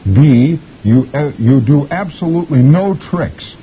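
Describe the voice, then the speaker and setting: A man's voice: a little high, expressive, unhurried, voice pushed up. A man voicing a grumpy, disdainful comic-strip parakeet, formal and huffy in his complaints. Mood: huffy, indignant. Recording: dull lo-fi old radio recording, faint hiss.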